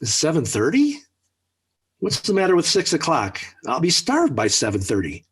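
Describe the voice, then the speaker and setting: A man's voice: a little high, huge pitch swings, normal speed, breathy edge, heavily acted. An actor playing an exasperated, blustering middle-aged father in an emphatic, flustered 1940s radio sitcom style. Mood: grumbling, stubborn. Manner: emphatic, flustered, sputtering indignation. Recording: old 1940s radio recording.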